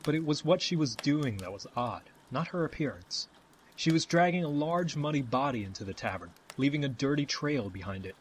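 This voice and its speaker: A man's voice: fairly high pitch, lively intonation, a little fast, a little hiss or hum, rough recording. A man delivering scripted fantasy narration as the storyteller of an audio tale. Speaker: narrator, game-style storyteller.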